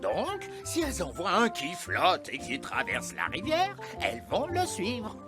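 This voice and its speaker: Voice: mid-range voice, huge pitch swings, a little slow, music in the background. Bossy old elf cowboy, swooping voice. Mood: instructive, self-assured. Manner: emphatic, instructive, self-assured. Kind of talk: French animated children's cartoon dialogue.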